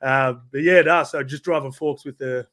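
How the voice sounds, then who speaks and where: A man's voice: mid-range voice, steady tone, moderate pace, a bit bright. A young Australian man, a podcast guest, chatting in a laid-back, slangy way and laughing often. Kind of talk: casual chat.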